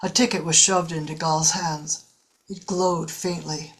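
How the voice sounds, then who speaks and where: Woman, story narrator, scripted audiobook delivery, fairly low pitch, science fiction drama. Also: fairly even intonation, measured pace, breathy edge.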